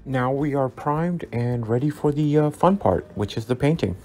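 A man narrating a scale model build as a relaxed tutorial, easygoing and full of ums and uhs as he recommends each step. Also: medium pitch, moderate pace. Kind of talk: relaxed instructional voice-over. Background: soft background music.

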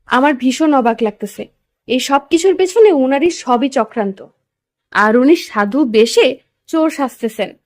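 A woman's voice: sits high, animated, a little slow, dry recording, clean studio sound. A woman playing a timid, flustered young heroine in a romantic audio story, with a hesitant, stammering delivery. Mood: bewildered.

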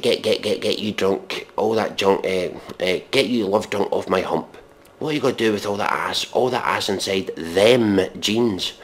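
Low-voiced, exasperated man reading in deadpan. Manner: exasperated, scornful, heavy swearing. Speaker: host giving a mock dramatic reading. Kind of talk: deadpan recitation of pop lyrics with sweary commentary.

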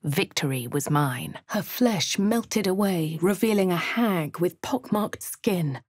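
A woman's voice: lowish voice, animated, slow, a little mellow, breathy edge, no echo, clean studio sound, full character voice. An actress with a posh English accent voicing a wry female fantasy adventurer in a video game, narrating and speaking in character. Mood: measured, wry.

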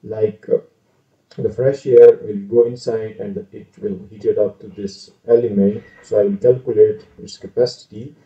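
A man's voice: fairly low pitch, fairly even intonation, normal speed. A man hosting his own channel, giving a casual screen-share tutorial on an engineering calculation, hesitant with many fillers and self-corrections. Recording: roomy, distant, dull lo-fi sound.

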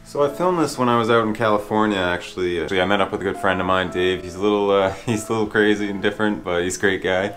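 A man's voice: on the low side, fast talker, few pauses, fairly bright tone, soft background music. Easygoing young man chatting to camera. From Maine. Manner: chatty, easygoing, laughs while talking. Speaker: vlogger. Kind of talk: casual vlog talk to camera. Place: inside a vehicle.

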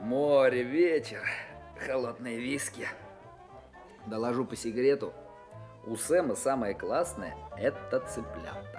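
A man's voice: mid-range voice, unhurried, darkish tone, lightly acted. An actor playing a chatty, easygoing, streetwise working man in a Russian radio drama, talking in breezy colloquial bursts. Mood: relaxed, boastful. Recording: music playing behind the voice.

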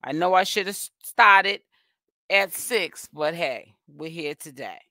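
A woman's voice: lowish voice, expressive, measured pace, pushed and bright, no echo, studio quality. A middle-aged woman from Boston with a Boston accent, hosting a casual live commentary and talking emphatically to her viewers. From Boston, Massachusetts. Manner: chatty, emphatic, calls viewers honey. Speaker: commentary channel host.

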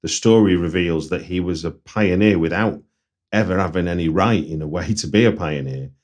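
A man from Manchester with a Mancunian accent, hosting a podcast interview in a relaxed conversational way. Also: low voice, moderate pace. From Manchester, England. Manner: conversational, frequent backchannel murmurs. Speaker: podcast host. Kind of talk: casual interview chat.

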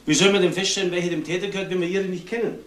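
A brisk, wry police assistant in a crime radio play , acted by a man. He chatters officiously at a quick pace, sounding roomy and away from the microphone. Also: high-pitched, steady tone, lightly acted. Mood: brisk, explaining. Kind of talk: German crime radio drama acting. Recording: roomy, distant, slight noise.

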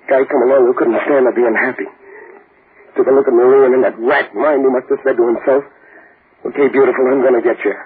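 An actor playing a desperate accused husband bent on revenge, intense and urgent with rough street talk, in 1940s radio drama style. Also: male voice, medium pitch, steady tone, quick pace, natural voice. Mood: bitter, insistent. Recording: tinny, noisy 1940s radio recording.

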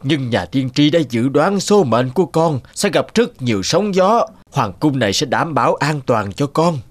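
A man's voice: mid-range voice, very animated, normal speed. A man playing a protective old king and father in a cartoon, solemn and paternal in manner. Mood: worried, protective. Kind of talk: cartoon voice acting. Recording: faint music behind.

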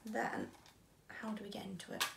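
A woman's voice: mid-range voice, big pitch swings, normal speed, gravelly. A young woman with a British accent hosting a chatty unboxing vlog in a playful, informal way. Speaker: vlog host. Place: small home bedroom, indoors. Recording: roomy, distant mic, audible noise.

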